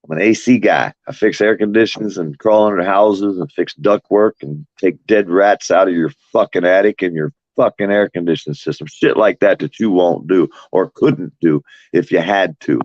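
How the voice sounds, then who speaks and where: A blunt, foul-mouthed man with a drawl rants to his livestream chat. His voice sits on the low side, and the stream audio is dry and dull, with little room sound. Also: normal speed, few pauses, a little mellow, no echo. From Florida. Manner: blunt, cursing, combative. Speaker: livestream host.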